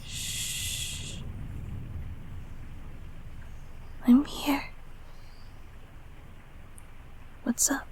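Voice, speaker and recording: A woman plays a nurturing, bashful monster from a childhood closet, in an intimate audio roleplay. The voice is soft and fairly high, with a very breathy, near-whispered texture and a crackle of fry. The delivery is hesitant and tender, soothing someone they once watched over. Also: fairly even intonation, slow pace, heavily acted. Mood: soothing, concerned. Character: gentle, shy, nurturing childhood closet creature, comforting a grown child.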